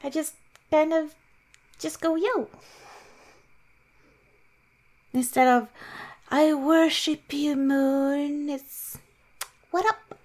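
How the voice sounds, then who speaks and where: A woman playing a shy, awkward young werewolf girlfriend in improvised ASMR roleplay, halting and self-mocking. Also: high voice, steady tone, a little mellow. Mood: self-deprecating.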